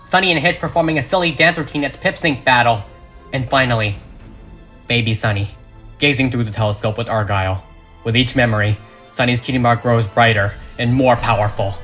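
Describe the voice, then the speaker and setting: A man's voice: mid-range voice, a little fast, a bit bright. A man reading a script as dramatic narration, with emphatic, suspenseful delivery. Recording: music behind the voice.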